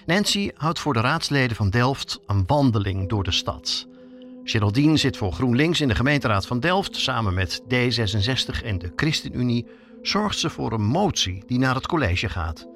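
Dutch man narrating with lively intonation. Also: medium pitch, moderate pace, faint music behind. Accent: Netherlands Dutch. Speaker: podcast host and reporter. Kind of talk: scripted narration.

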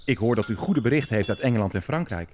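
An actor playing an imperious, menacing Dutch prince in a radio drama, speaking grandly and with relish. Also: male voice, on the low side, animated, fast, darkish tone, slight background noise, natural voice. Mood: smooth, lordly.

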